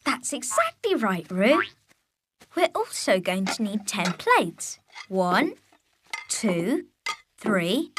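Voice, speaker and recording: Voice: medium pitch, noisy background. A performer playing a kind, patient, cheerful young boy in a children's cartoon, teaching his friends in an encouraging way. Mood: counting carefully. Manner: patient, encouraging, clearly enunciated. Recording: music under the voice.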